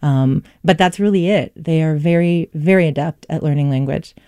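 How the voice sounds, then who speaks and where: Woman language researcher, low warm voice, explanatory, relaxed fillers. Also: moderate pace, dry recording, clean studio sound. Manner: confident, explanatory, with frequent fillers. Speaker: language learning expert. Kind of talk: explanatory conversation.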